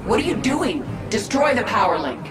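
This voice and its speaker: A woman's voice: a little high, sing-song, moderate pace. A woman voicing a fierce, commanding alien swarm queen in a video game, giving orders through an echoing effect. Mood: stern command. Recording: echoing voice under loud game music.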